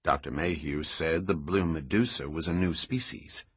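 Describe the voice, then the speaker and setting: A man's voice: very deep voice, normal speed, fairly bright tone, breathy edge, no echo, exaggerated voice. A man voicing a curious, forthright female marine biologist in an audiobook, asking plain, direct questions. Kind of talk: audiobook dialogue reading.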